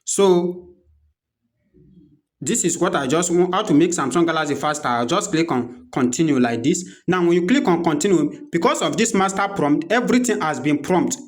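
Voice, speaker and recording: A man with a Nigerian accent presenting an unscripted software walkthrough, explaining step by step and repeating his instructions as he goes. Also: fairly high pitch, normal speed. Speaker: tutorial presenter.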